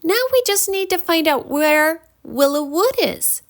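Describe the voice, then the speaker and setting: Kind little storybook girl voiced by a woman, very high and lilting, eager, caring, childlike. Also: expressive, a little slow, exaggerated voice. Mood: puzzled.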